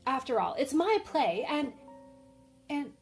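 A woman's voice: sits high, big pitch swings, slow, faint music behind, heavily acted. A woman acting a bold, theatrical tomboy teenage girl in an amateur radio drama, with eager, exclamatory delivery. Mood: bossy, theatrical.

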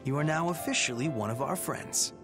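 A man's voice: fairly high pitch, fairly quick, lightly acted. An actor playing an easygoing, blunt and friendly young male fighter in a video game cutscene. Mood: friendly, upbeat. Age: young adult. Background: background music.

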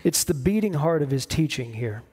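Slightly high-voiced male preacher, measured phrasing. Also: animated, darkish tone, husky. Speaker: pastor preaching. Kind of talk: sermon. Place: church stage at a lectern, indoors.

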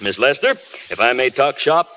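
Casting: kindly middle-aged studio chief, urbane, medium-pitched, faintly crackly vintage radio play. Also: male voice, very animated, moderate pace, sharp tone. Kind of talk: 1930s radio acting.

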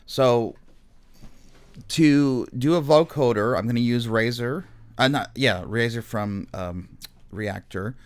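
A man instructing in music software in a casual, unscripted and slightly hesitant explanatory way, as a screen tutorial. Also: mid-range voice, slow pace.

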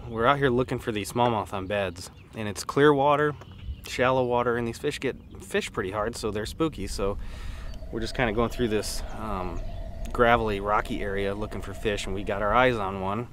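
A man's voice: on the low side, moderate pace, fairly bright tone, slight background noise. A man in his thirties hosting an outdoor show, casually explaining things while fishing from a boat on a lake. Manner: easygoing, explanatory, with fillers.

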